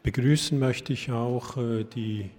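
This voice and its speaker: An older man with a Swiss German accent gives a halting opening address, full of fillers and restarts as he checks his notes. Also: mid-range voice, fairly even intonation, darkish tone. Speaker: architect giving an opening address. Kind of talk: hesitant welcome speech at an exhibition opening. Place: academy event hall. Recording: long hall reverb.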